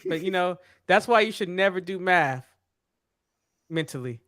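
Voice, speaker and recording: A man's voice: high voice, lively intonation, normal speed, a bit bright, no room sound, clean studio sound. A man co-hosting a podcast, teasing his co-hosts in casual banter. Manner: teasing, good-natured ribbing. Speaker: podcast co-host.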